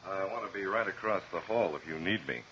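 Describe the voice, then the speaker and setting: Very deep-voiced, protective tough professional. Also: male voice, brisk, fairly bright tone, a little hiss or hum. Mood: wary, casual. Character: protective, hot-tempered male dentist. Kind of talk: 1950s radio crime drama acting. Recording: muffled old 1950s radio recording.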